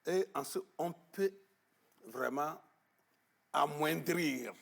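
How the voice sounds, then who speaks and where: A man being interviewed in a television studio, explaining at length with emphasis, often pausing on fillers and repeating words. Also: fairly high pitch, vocal fry. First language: Arabic-educated, French not first. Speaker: guest on traditional knowledge.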